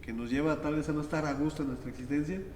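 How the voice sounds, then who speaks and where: A man's voice: on the high side, fairly even intonation, rapid-fire, a little mellow. A man, a priest hosting a reflective podcast conversation, who often ends his points with tag questions. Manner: thoughtful, many tag questions. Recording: echoey hall with background noise.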